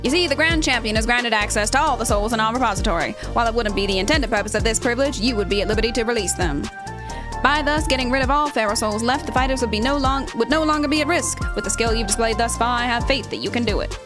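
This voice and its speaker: A polite arena announcer from a game acted by a woman, pitched fairly high. The delivery is formal, measured and grave, with music clearly behind it. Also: close to an everyday voice. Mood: grave concern.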